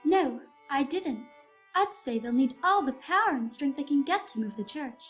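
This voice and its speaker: A woman's voice: sits high, expressive, moderate pace, soft background music. An actress playing a polite, wide-eyed country woman of the 1910s in a nostalgic radio play, genteel and exclaiming. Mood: astonished.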